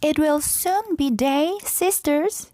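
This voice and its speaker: Woman as a breezy, high-voiced elder princess. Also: slow pace, warm tone, no room sound, clean studio sound. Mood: calling out. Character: confident elder princesses, breezy, bossy young women.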